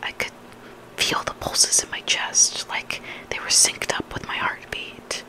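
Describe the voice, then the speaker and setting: An ASMR creator whispering scary stories in a soft, unhurried narration, phrased for dramatic storytelling. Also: low-quality recording. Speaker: ASMR creator reading listener horror stories.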